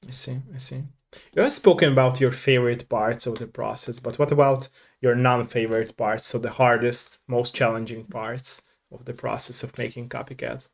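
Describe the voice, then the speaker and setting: A man's voice: medium pitch, flat delivery, moderate pace, creaky. A man hosting a casual video interview, earnest and polite, often answering with short agreements. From Ukraine. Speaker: interview host.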